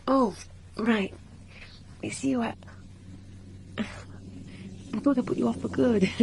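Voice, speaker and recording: Young woman for radio play: slow, husky-breathy delivery, candid, eager, quick to bristle. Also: high voice, a bit bright, full character voice. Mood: flustered. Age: young adult. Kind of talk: radio drama acting, partly narrating.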